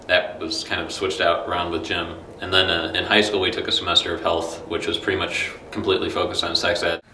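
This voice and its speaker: Deep-voiced young man, echoey room. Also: pushed and bright, recorded from a distance. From Illinois, USA. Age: young adult. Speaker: college student interviewee. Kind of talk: casual interview answers. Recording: echoey room, faint noise.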